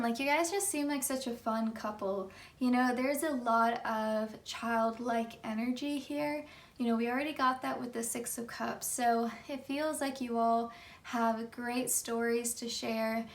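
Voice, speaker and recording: Slightly hoarse chatty woman reading tarot. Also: on the high side, steady tone, a little slow, recorded from a distance. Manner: chatty, many fillers like "you know". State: hoarse from just waking up. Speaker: tarot reader. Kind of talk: pick-a-card tarot reading.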